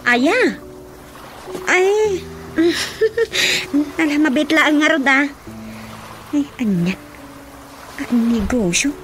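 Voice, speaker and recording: A woman's voice: sits high, animated, very slow, long pauses, a bit bright, exaggerated voice. A Filipino woman with an Ilocano accent playing a puzzled village housewife who questions and exclaims, in a radio drama comedy. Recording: music over the voice.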